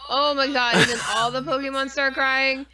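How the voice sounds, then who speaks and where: A woman's voice: high-pitched, little pitch movement, normal speed, a crowd in the background. A woman co-hosting a podcast live stream in casual, informal chat with plenty of swearing.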